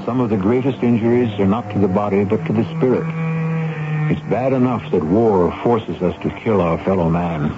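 A man giving a grave, measured scripted introduction as host of a 1970s radio mystery series. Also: lowish voice, very noisy. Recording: dull 1970s radio broadcast. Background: loud music.

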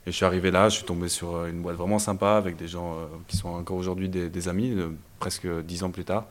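A young man from Belgium, a startup founder telling his story in a casual interview, relaxed and hesitant with many fillers. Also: deep voice, quick pace. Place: startup incubator office.

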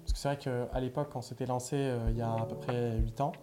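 A man's voice: mid-range voice, fairly even intonation, quick pace, over music. A man in his thirties, a native Italian speaker, co-hosting a podcast, chatting casually with many fillers and restarts. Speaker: podcast co-host. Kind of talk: casual chat.

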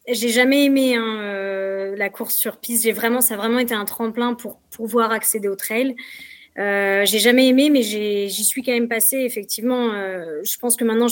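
A woman's voice: a little high, dry recording. A woman in her twenties from the Paris region, talking casually as a guest athlete, with frequent fillers and restarts. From Paris region, France. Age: 20s. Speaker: trail running athlete, guest. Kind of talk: casual podcast interview.

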